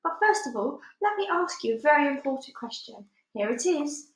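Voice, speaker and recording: Woman doing a plucky little girl from a storybook, high voice, bossy and emphatic, echoey room. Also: very animated, normal speed. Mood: determined. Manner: emphatic, exclamatory. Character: spirited, indignant, determined young orphan girl.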